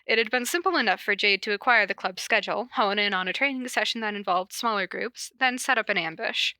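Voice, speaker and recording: Bright-voiced woman reading a story aloud. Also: medium pitch, fairly quick, no echo. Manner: self-deprecating. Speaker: podcast host reading fan fiction aloud. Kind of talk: cold-read story narration with casual asides.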